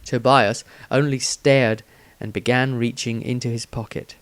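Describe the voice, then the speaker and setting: A man's voice: mid-range voice, fairly even intonation, a little slow, a little hiss or hum. A man reading a scripted fantasy story aloud as its narrator.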